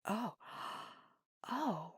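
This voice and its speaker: A woman voicing a playful, mischievous, kindly witch in an intimate, unhurried ASMR monologue that slips into near-whispers. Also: mid-range voice, expressive, breathy edge, no room sound, clean studio sound, heavily acted. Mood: giddy, excited. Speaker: ASMR audio performer.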